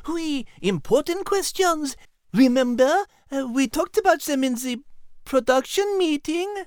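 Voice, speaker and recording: A woman's voice: high-pitched, expressive, unhurried, darkish tone, no echo, clean studio sound. A woman voicing a polite, genteel French cat in a children's story podcast, speaking with a French accent. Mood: playful.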